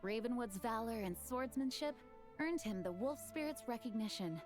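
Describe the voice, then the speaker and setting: An actress voicing a dutiful female acting grandmaster in a video game, speaking in a clear, formal way. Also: a little high, moderate pace, music in the background, lightly acted. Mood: narrating.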